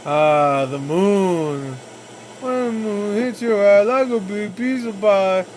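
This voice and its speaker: A man's voice: sits high, takes it slowly. A man giving casual, jokey reaction commentary with slangy swearing as he watches a video. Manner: profane, slangy, jokey asides. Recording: clear background noise.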